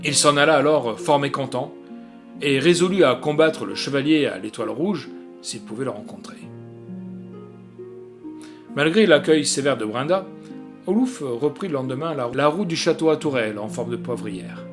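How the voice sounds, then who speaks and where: A man narrates a gothic tale over prominent guitar music. His mid-pitched voice is measured and dramatic, shaped like an expressive audiobook reading with swells and hushed drops. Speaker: audiobook reader.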